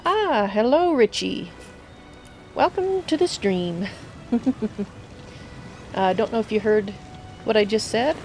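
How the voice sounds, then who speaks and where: A woman streaming a video game live, slightly hoarse from a cold, chatting with viewers and thinking aloud as she plays. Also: medium pitch, a little mellow, rough recording. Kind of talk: casual live gameplay commentary.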